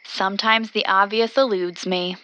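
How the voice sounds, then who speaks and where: A woman's voice: medium pitch, fairly even intonation, moderate pace, sharp tone, natural voice. A woman acting as an earnest, observant radio reporter who narrates events into her recorder in an audio drama. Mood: calm, reflective. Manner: descriptive, observant, speaking into a recorder.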